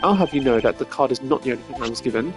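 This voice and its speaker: A man voicing a stern, principled prosecutor with a formal, measured delivery in a video game scene. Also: medium pitch, music in the background, lightly acted. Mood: confident.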